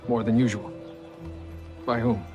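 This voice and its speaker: An actor playing a composed, formal police detective in a period TV drama. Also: male voice, mid-range voice, level tone, a little slow, lightly acted. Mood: probing. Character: calm, methodical male police detective. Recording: music score underneath.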